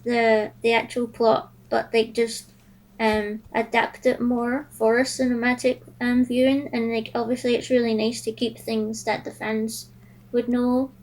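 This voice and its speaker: Female co-host, slightly high pitch, casual and opinionated. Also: flat delivery, measured pace, darkish tone, low-quality recording. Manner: fills her talk with "like", blunt opinions. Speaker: podcast co-host. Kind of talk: casual podcast chat.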